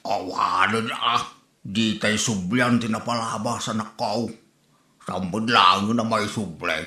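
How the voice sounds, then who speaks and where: A man's voice: lowish voice, fairly even intonation, slow, bright, forceful tone. A man playing a feckless, evasive older father with an Ilocano accent in a comedy drama. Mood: sheepish pleading. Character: feckless older father who drinks and squanders money. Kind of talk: Ilocano comedy radio-style drama acting. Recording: roomy echo.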